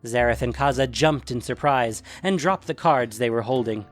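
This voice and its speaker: A man's voice: mid-range voice, normal speed, soft background music. A man reading scripted fantasy fiction as a dramatic narrator.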